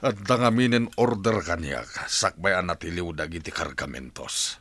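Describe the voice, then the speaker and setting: A man's voice: low-pitched, moderate pace, fairly bright tone. A man playing a bitter, cursing crime boss in an agitated, complaining way in an audio drama. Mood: bitter, resigned. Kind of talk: radio drama dialogue.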